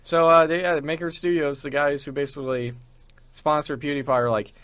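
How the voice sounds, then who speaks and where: A man's voice: fairly high pitch, level tone, a little fast, a bit bright, clean tone, no room sound. A man hosting a show with casual, rambling chat about games and internet culture, acting out imagined quotes as he goes. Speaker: show host. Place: small radio studio.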